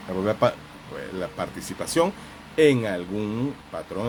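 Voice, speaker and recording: A man speaking as a counselor and host in an informal live talk, explaining with examples in a halting, reflective, didactic way and often repeating phrases. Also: fairly low pitch, darkish tone, slight background noise.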